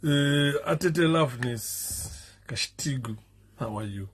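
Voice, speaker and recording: Hoarse Zimbabwean man with raised pitch. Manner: halting, many fillers, switches into Shona and sings. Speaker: political commentator. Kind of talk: live-streamed talk greeting viewers.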